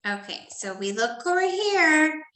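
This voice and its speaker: A woman teacher reading a story to young children and going over a study guide, talking gently and checking in with "okay?" often. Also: high voice, big pitch swings, unhurried, echoey room. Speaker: first grade teacher.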